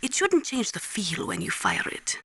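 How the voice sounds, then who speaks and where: Tough female military analyst, Ukrainian accent, bright crisp tone, earnest, deliberate, instructive, video game briefing, dry close sound. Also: high voice, big pitch swings, dry recording, exaggerated voice. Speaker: military analyst and nuclear specialist. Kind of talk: scripted game voice acting, briefing.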